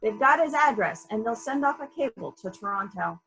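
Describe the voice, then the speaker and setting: A woman playing a brisk, suspicious police investigator questioning witnesses in a dramatic murder mystery reading. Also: a little high, lively intonation, a bit bright, poor audio. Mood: businesslike, probing. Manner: probing, businesslike, sceptical. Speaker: library staff member reading a part.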